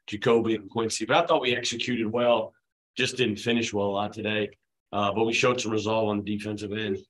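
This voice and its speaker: A fairly low-voiced man in his forties speaks into a table microphone at a postgame press conference. As a basketball coach, he answers questions in a relaxed, chatty way, full of "you know" and "uh". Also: brisk, a little hiss or hum, poor audio. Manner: relaxed, conversational, many fillers. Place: press room at a team table, his children beside him.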